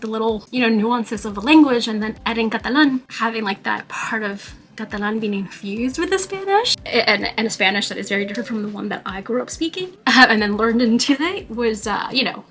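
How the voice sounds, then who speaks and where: A young woman chats to her camera in a relaxed, loose vlog style. Her voice sits a bit higher than most, and her sentences run on with plenty of "like" and "you know." She sounds easygoing and conversational. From New York. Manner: chatty, with frequent "like" and "you know" fillers. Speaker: vlogger. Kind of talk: casual talking-to-camera vlog.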